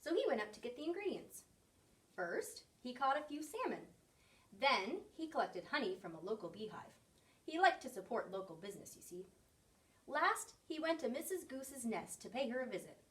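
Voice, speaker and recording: A woman reads a storybook aloud for children, her fairly high voice moving with lots of expression. She sounds a little way back from the mic. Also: big pitch swings, moderate pace, a bit bright, recorded from a distance. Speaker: story reader. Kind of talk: expressive picture book read-aloud for children.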